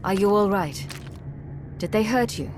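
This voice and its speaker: An actress playing a composed, authoritative secret agent commander in a video game, firm and diplomatic. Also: female voice, mid-range voice, expressive, unhurried, noisy background, close to an everyday voice. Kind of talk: video game cutscene acting.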